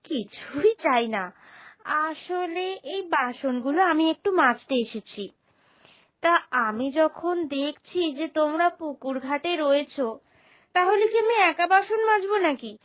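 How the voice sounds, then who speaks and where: Woman acting high-pitched entitled young daughter. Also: animated, unhurried. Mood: wheedling. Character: cunning, selfish, demanding young married daughter. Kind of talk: cartoon moral-story voice acting.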